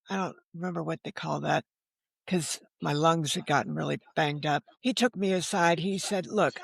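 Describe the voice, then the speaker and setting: A woman's voice: lowish voice, vocal fry, no room sound. An older woman telling her own story candidly as an interview guest, frank, wry and self-deprecating, often quoting what people said. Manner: quotes dialogue while telling stories. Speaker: podcast guest, novelist.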